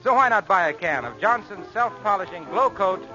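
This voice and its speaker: Polished, animated vintage radio announcer man. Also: high-pitched, moderate pace, dull audio, loud music behind the voice. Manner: polished, earnest salesmanship. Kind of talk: 1940s radio commercial reading. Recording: band-limited old radio recording.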